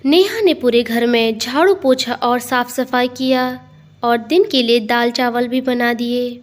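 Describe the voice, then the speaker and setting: A woman narrates a scripted family tale. Her voice sits a little higher than average. She delivers it as a storyteller. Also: a little slow.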